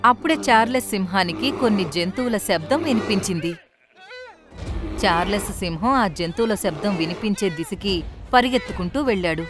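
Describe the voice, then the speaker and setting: A woman's voice: mid-range voice, lively intonation, a little fast. Woman narrating children's story over music. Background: light background music.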